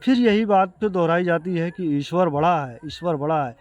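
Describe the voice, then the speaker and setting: A man with an Indian accent giving an earnest explanation to viewers, speaking haltingly and repeating himself. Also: fairly high pitch, darkish tone. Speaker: video creator addressing viewers. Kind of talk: explanatory talk to camera.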